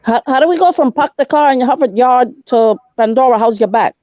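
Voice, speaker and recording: A woman's voice: high voice, brisk, warm tone, dry recording. A woman chatting casually in a group voice call, laughing and bantering playfully. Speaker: chat participant. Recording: dull dry lo-fi mic.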